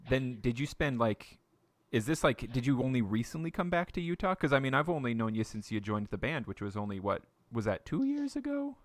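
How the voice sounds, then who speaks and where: A man's voice: mid-range voice, big pitch swings, fairly quick. Male podcast host, casual chat, curious questions, light joking.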